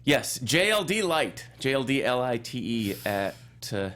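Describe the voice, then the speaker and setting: A man's voice: medium pitch, sing-song, unhurried, a bit bright, soft background music. A man chatting casually as a panelist on a film show, opinionated, dry and offhand.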